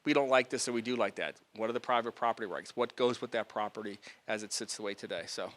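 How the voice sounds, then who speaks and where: A man speaking as a city council member at a local meeting, in a measured, explanatory way before handing off to the next speaker. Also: fairly low pitch, a bit bright, slight background noise. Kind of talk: public talk to a local group. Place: meeting room.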